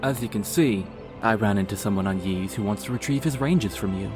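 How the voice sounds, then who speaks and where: A man's voice: fairly low pitch, fairly quick, music in the background, lightly acted. An actor playing a loyal, friendly male knight in a fantasy audio story, speaking with cordial, teasing respect. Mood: wry. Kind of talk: fantasy audio drama acting.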